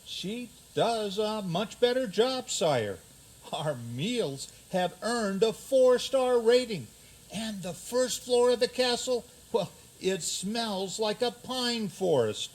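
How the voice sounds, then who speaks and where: A man's voice: high-pitched, expressive, slow pace, full character voice. A man playing a smug, courtly fairy-tale prince, formal and self-justifying, in a radio theater comedy. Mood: aggrieved, smug.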